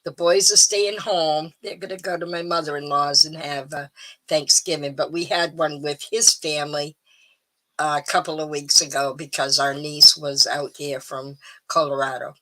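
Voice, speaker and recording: A middle-aged woman hosting a live stream, chatting casually and rambling with ums, a little hoarse. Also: low voice, steady tone, normal speed, sharp tone, rough recording. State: slightly hoarse. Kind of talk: casual chat to viewers.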